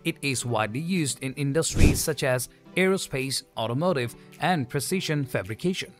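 A man narrating a scripted explainer video in an even, polished delivery without fillers. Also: medium pitch, moderate pace, faint music behind. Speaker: channel narrator.

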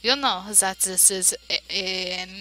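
A woman playing a game, reading its dialogue aloud and reacting with big emotional swings between loud outbursts and hushed narration. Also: mid-range voice, slow pace, faint music behind. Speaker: let's play voice-over player.

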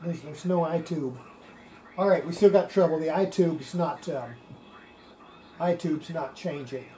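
Older woman muttering, mellow and lowish, noisy recording. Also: measured pace. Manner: muttering to self while working. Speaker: radio restorer. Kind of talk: casual hands-on repair commentary. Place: cluttered indoor electronics workbench. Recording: noisy workshop room recording.

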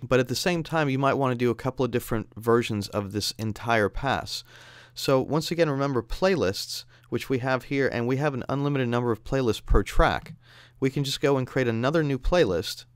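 A man narrates a software tutorial in a smooth, clear voice. He explains things step by step in a conversational way, and the sound is dry and close. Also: mid-range voice, brisk, dry recording. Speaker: audio software instructor. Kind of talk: instructional screencast narration.

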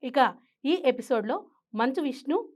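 High-pitched woman news presenter. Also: animated, a little slow, a little mellow. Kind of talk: scripted news commentary.

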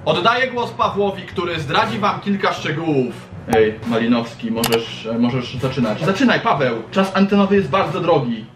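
A man's voice: a little high, moderate pace, background noise. A young man presenting a playful, informal toy review show in an enthusiastic, slangy way. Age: young adult. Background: background music.

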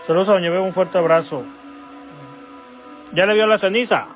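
Higher-voiced man, casual livestream. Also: fairly quick, soft background music. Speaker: livestream host. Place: small house kitchen and living room, indoors. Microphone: handheld phone. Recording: noisy handheld phone in a kitchen.

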